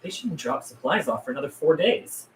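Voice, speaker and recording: A man's voice: a little high, expressive, fairly quick, a bit bright, echoey room, a little hiss or hum, low-quality recording. A man playing a weary, sardonic survivor in his thirties in a film script read. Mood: puzzled.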